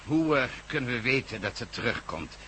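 A man's voice: medium pitch, very animated, a little fast, fairly bright tone, a little hiss or hum. A male actor playing a stern police commissioner under pressure in a radio drama, firm and insistent. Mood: tense, insistent.

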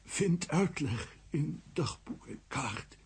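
A man's voice: on the high side, lively intonation, slow, heavily acted. An actor playing a weak, injured man who falters and can barely speak, in a children's radio play. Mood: weak, fading. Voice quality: husky, faltering. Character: injured, weakened man gasping for help.

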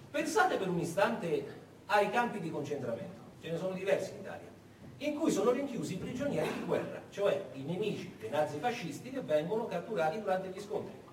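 A male stage storyteller delivers a dramatic historical narration, often putting questions to his listeners. His voice is high and swings widely in pitch, heard in an echoey room. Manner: dramatic, addresses the audience with rhetorical questions. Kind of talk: theatrical narration of wartime history. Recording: echoey room, distant mic, faint noise.

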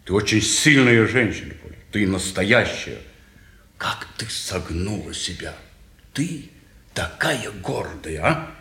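Low-voiced, insistent worldly suitor. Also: male voice, lively intonation, bright, forceful tone, full character voice. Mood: insinuating. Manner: measured, insinuating. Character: calm, insistent, worldly man pursuing a former lover. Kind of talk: 1950s Russian stage drama acting. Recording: echoey 1950s theatre recording.

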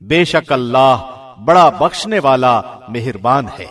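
A man reading a scripture translation formally, with measured, solemn and carefully phrased delivery. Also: medium pitch, lively intonation, fairly bright tone. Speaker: professional radio host reading translation.

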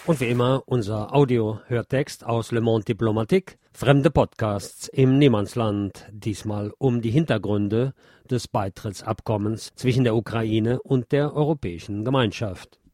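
A man presents as a show host in a scripted announcing style. His voice is fairly low and mellow, recorded very dry and close. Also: a little slow, no echo.

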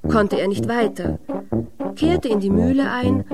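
A woman's voice: medium pitch, very animated, measured pace, over loud music. A woman storyteller reading a scripted fairy tale narration.

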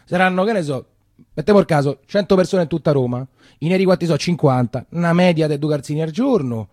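An actor playing a weary, chatty Roman coffee-shop keeper, rambling and repeating himself in a colloquial theatrical monologue with a Roman accent. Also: male voice, on the high side, quick pace, darkish tone, no echo, clean studio sound, close to an everyday voice. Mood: chatty, grumbling. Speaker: stage actor.

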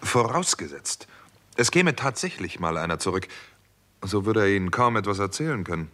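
An actor playing a hard-boiled, sardonic doctor turned investigator in a 1960s German radio crime drama, with dry, terse delivery. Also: male voice, on the low side, animated, normal speed, bright, forceful tone. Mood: sceptical. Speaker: first-person narrator and protagonist. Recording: noisy old radio recording with clicks.

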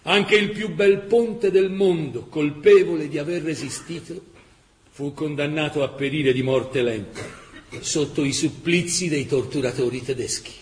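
A man's voice: on the high side, measured pace, slight background noise. A man narrating a scripted radio drama in an impassioned, emphatic, literary way. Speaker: actor narrator.

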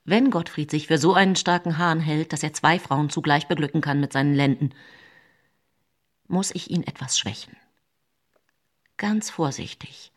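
An actress playing a scheming woman poisoner with a husky voice, narrating her own story in a radio play. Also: low voice, moderate pace, long pauses. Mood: sly, calculating. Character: scheming woman poisoner, outwardly caring, coldly calculating. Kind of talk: dramatic first-person radio play narration.

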